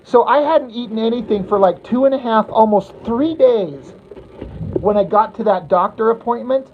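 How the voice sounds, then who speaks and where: A woman's voice: fairly high pitch, normal speed, slight background noise. A woman livestreaming casually to her viewers, rambling bluntly and crudely as she answers their comments. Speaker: livestreamer chatting with viewers while drawing. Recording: tinny phone-like audio.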